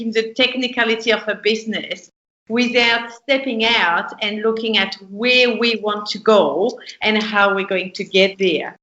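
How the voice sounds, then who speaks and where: A woman's voice: on the high side, sharp tone. A woman from France with a French accent, a business lecturer explaining step by step as a podcast guest. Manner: earnest, explains with step-by-step examples. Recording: echoey room, dull lo-fi sound.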